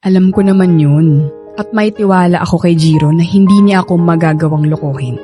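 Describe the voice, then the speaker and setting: An actress playing a gentle, trusting young pregnant woman in a Filipino radio drama, speaking affectionately with a Filipino accent. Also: fairly low pitch, a little fast, lightly acted. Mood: trusting, firm. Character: young pregnant live-in partner, sweet, trusting, caring. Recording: studio drama over background music.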